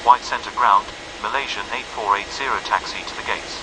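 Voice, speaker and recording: A man's voice: fairly low pitch, fairly even intonation, fairly quick, noisy background, lightly acted. A man playing a formal, procedural pilot or controller in a flight game, using clipped aviation radio phraseology. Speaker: pilot and air traffic radio voice in a simulation game.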